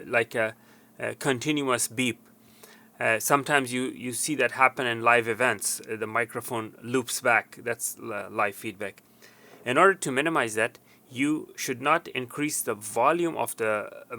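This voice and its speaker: A man presents an informal technical how-to in a mid-pitched, clearly bright voice. He explains things step by step, with a slightly halting delivery as he instructs. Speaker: technician giving tutorial.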